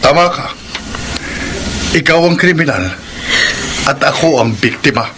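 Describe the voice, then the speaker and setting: Reproachful married lover, slightly high male voice, accusing, emphatic, radio drama, noisy track. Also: animated, a little slow, sharp tone. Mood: blaming, bitter. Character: reproachful married man blaming his mistress, torn toward family. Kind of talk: Filipino radio drama acting.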